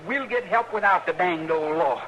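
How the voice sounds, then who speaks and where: High-pitched young country man acted by a man. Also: moderate pace, lo-fi sound, natural voice. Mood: anxious, urgent. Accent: rural American. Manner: folksy, earnest, defensive. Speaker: actor in radio western. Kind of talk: old-time radio western acting. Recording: noisy old-time radio recording.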